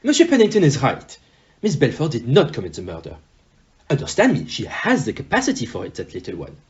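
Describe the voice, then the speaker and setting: An actor playing a shrewd, courteous detective in an audio play, with a deliberate, pompous manner. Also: male voice, medium pitch, wildly swooping pitch, a little mellow, lo-fi sound. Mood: assured. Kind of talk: amateur audio play acting.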